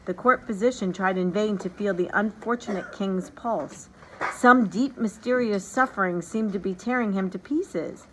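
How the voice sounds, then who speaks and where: A woman narrating a storybook aloud in an expressive, dramatic and deliberate way. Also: medium pitch, background noise. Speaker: teacher reading a storybook aloud.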